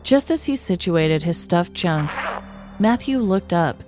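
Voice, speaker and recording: A woman narrates a scripted true-crime tale as a podcast host. Her voice sits a little low and her telling is measured and articulate. Faint music plays underneath. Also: animated, darkish tone.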